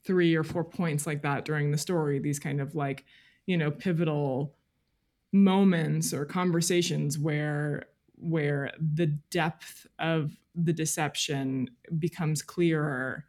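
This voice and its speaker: A woman speaking as a journalist guest in a casual interview, thoughtful and halting, often breaking off into asides. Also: sits low, fairly even intonation, darkish tone.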